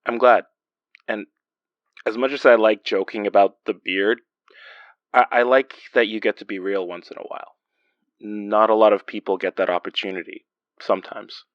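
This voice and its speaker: Actor as a lowish-voiced, hesitant young man. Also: steady tone, normal speed, long pauses, no room sound, muffled recording, natural voice. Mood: warm, hesitant. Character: guarded, joking, soft-hearted man in a budding romance. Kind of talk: naturalistic audio drama acting.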